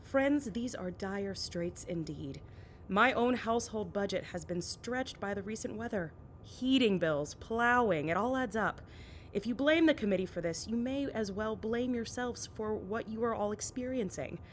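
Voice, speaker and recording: A woman plays a sensible wife and mother in an audio drama. She speaks at a middling pitch in a firm, reasoning way, with faint rumbly noise underneath. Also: expressive, moderate pace, lightly acted. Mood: diplomatic.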